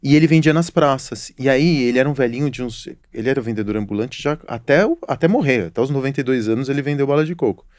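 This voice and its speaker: Middle-aged guy hosting a podcast, chatty storyteller, normal pitch, dead dry sound. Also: fast, hardly any pauses, a little mellow, no room sound. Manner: reflective, storytelling, apologetic asides. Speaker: podcast host. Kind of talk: casual conversational interview.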